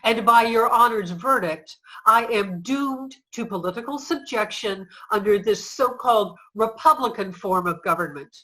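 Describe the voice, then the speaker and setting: A woman's voice: on the high side, animated, moderate pace, hardly any pauses, a bit bright, rough recording. A woman playing a defiant, dignified suffragist on trial, speaking oratorically and emphatically in a reader's theater piece. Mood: indignant, firm. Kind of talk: reader's theater courtroom speech.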